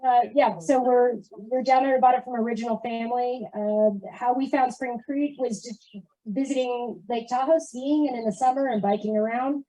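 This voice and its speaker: A woman's voice: fairly high pitch, steady tone, moderate pace, warm tone, no room sound, poor audio. A middle-aged woman giving a casual, conversational introduction of herself to a group. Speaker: new cabin owner introducing herself.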